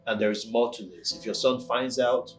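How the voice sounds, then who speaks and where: A man's voice: medium pitch, steady tone, moderate pace, lightly acted, poor audio. An actor playing a stern, disappointed man who lectures a woman firmly. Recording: faint guitar music underneath.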